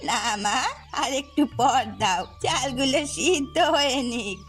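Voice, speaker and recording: A woman's voice: sits high, lively intonation, a little slow, a bit bright, faint music behind. A woman voicing a kindly step-grandmother of a village family in a cartoon. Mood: gentle. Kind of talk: cartoon voice acting.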